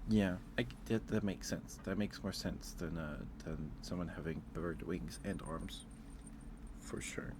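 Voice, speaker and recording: A man's voice: deep voice, a little slow, background noise. A man chatting casually on an ASMR livestream, rambling hesitantly and repeating his phrases, close to the microphone.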